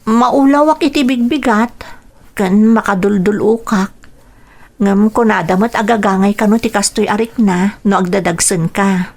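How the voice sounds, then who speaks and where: A woman playing a gentle, troubled young runaway daughter in a radio drama, plaintive and confiding, with a Filipino accent. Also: medium pitch, fairly even intonation, moderate pace, lightly acted. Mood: weary, resigned. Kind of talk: radio drama acting and narration.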